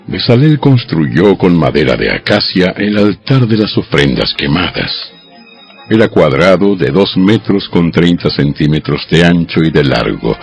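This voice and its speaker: A man reading scripture as a dramatized audio Bible narrator, with measured, deliberate phrasing. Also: low-pitched, gravelly. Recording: dull lo-fi audio over music. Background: background music.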